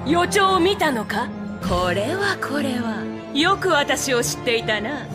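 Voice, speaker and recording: A woman's voice: high-pitched, sing-song, unhurried, over music. A woman voicing a proud, regal pony princess in a dubbed cartoon, with pompous, archaic speech. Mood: menacing, mocking.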